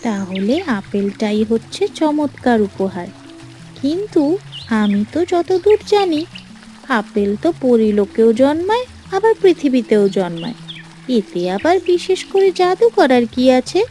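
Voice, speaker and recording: একজন মহিলা কার্টুন রূপকথার এক চালাক, খানিকটা কর্তৃত্বপরায়ণ পরীর চরিত্রে নাটকীয় ভঙ্গিতে কণ্ঠ দিচ্ছেন।. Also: female voice, sits high, very animated, measured pace, mellow, soft background music. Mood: matter-of-fact, thoughtful. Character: clever female fairy, helpful schemer, teasing and bossy. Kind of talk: animated fairy tale voice acting.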